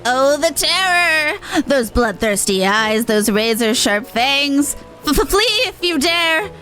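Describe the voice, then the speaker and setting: Woman playing a theatrical high-voiced demon showman. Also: expressive, pushed and bright, faint music behind, full character voice. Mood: mock terror. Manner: grandiose, drawn-out dramatic delivery. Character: theatrical pompous demon showman in disguise, gleefully macabre. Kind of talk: voiced reading of game dialogue.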